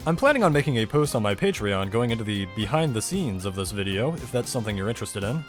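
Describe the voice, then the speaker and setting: Man narrating a technical explainer, animated intonation. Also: lowish voice, fast talker, soft background music. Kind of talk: scripted explainer narration with casual asides.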